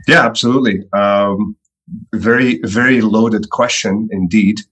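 A middle-aged man from Belgium, a guest telling his story in a podcast interview, relaxed and repeating words often. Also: fairly low pitch, measured pace. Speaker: entrepreneur guest.

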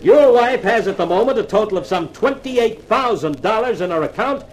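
Cocky, sardonic columnist, swinging pitch. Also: male voice, lively intonation, low-quality recording. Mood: pressing. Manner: wry, clipped, deliberate. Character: cocky, sardonic newspaper columnist, cool under questioning. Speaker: actor playing the lead and narrator. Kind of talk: 1950s radio detective drama acting and narration.

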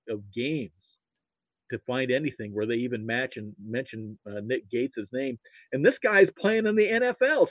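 American male, podcast guest, casual sports talk, halting, opinionated, phone-like dry audio. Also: medium pitch, no echo. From Nebraska, United States. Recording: thin phone-like call audio.

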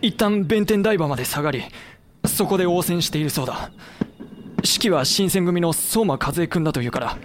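A man's voice: a little high, expressive, normal speed, background noise, close to an everyday voice. A male actor playing a frank samurai vice-president who also narrates a historical war audio drama. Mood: tense.

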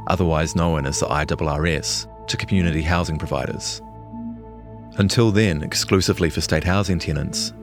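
A man reading a scripted longform news feature as a journalist narrator. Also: low voice.

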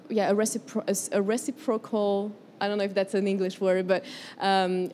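A woman from Morocco teaching a spiritual herbalism class, leading a guided breathing exercise and speaking gently, unhurried, and often searching for words. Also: medium pitch, a little hiss or hum.

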